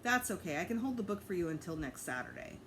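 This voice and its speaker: Storybook library clerk, read by a woman: young, female, kind, patient, softly soothing, lilting pitch. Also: sing-song, fast, a little hiss or hum. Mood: polite. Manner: soft, soothing, encouraging.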